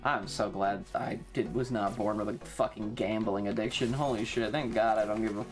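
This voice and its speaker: A woman's voice: rumbling low, fairly even intonation, moderate pace, faint music behind. A woman live-streaming a video game, chatting casually with slangy, sweary exclamations. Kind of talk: casual gaming stream commentary.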